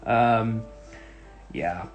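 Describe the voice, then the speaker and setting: Young Dutch-accented man, halting chat. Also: on the low side, dull audio, faint music behind. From Netherlands. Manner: hesitant, many ums and restarts. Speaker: tech channel host. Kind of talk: casual Q&A monologue.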